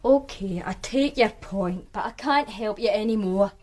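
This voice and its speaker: A woman's voice: mid-range voice, a little slow, darkish tone, close to an everyday voice. An actress playing a guarded, streetwise woman from Glasgow with a Glaswegian accent, talking in a dry, sardonic way in a radio drama. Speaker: witness, flatmate of the victim.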